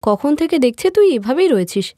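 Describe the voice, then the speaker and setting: A woman voicing excitable, playful young twin sisters who chatter and exclaim in a cartoon story. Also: high-pitched, brisk, dry recording, studio quality, pitched above the performer's own voice. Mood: excited, playful. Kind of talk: cartoon moral story voice acting.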